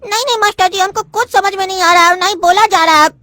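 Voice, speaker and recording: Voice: very high voice, fast, sharp tone, dry recording. A voice actor playing a cheeky, lovestruck young male student who keeps talking his way out of trouble. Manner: cheeky, talks his way out of things.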